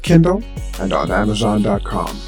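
A man's voice: on the low side, big pitch swings, normal speed, vocal fry, faint music behind. A man presenting a podcast, reading a scripted promotional announcement.

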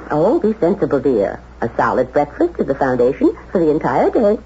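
A woman's voice: low voice, huge pitch swings, a little fast, warm tone. An actress playing a fussy, old-fashioned, doting older American mother in a 1970s radio drama, prim and coaxing. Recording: dull, faintly noisy 1970s radio recording.

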